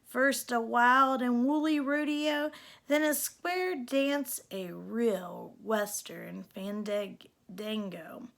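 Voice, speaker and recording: Woman, children's read-aloud, expressive storytelling, fairly high voice, unhurried pace. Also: gravelly. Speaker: storybook reader.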